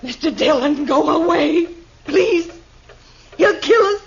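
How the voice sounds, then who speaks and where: An actress in a 1950s radio western playing a frightened, pleading hostage. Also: female voice, high-pitched, expressive, slow pace, vocal fry, full character voice. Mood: terrified. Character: terrified, pleading female hostage of an armed fugitive. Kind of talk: 1950s radio drama acting. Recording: dull old radio recording.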